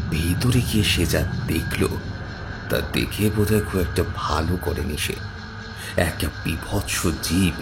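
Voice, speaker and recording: Deep-voiced man narrating horror over loud music. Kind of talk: dramatic scripted horror story narration. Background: loud horror background music.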